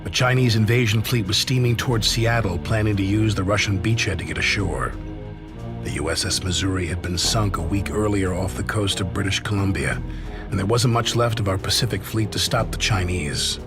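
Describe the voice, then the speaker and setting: Deep-voiced actor as an American soldier narrating. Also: male voice, brisk, music in the background, close to an everyday voice. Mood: somber, reflective. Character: weary American soldier recounting the war. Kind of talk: scripted game narration, war memoir.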